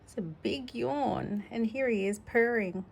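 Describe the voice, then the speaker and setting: A woman reading a children's storybook aloud in an informal, gentle, conversational way, adding personal asides for a child. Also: on the high side, a little slow, creaky, husky. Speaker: storybook reader.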